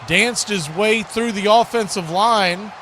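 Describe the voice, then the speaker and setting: A man's voice: high voice, slow pace, pushed and bright, some chatter behind, a little hiss or hum. An American man giving live play-by-play football commentary as host, in short, clipped present-tense calls.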